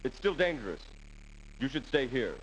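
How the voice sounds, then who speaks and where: Formal, cautious military aide. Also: male voice, mid-range voice, big pitch swings, fairly bright tone. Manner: formal, measured briefing. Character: cautious, dutiful male military aide to a commander. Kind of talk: dramatic video game cutscene acting. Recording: slightly noisy old game audio.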